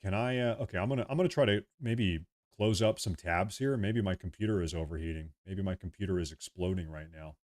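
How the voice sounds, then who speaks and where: A young man from the United States hosts a loose livestream, talking straight to his viewers. His voice sits very low, and he rambles, circling back over the same phrases as he reads chat and fills time. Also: brisk, few pauses, darkish tone. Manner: rambling, repeats himself, reads chat aloud. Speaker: livestream host.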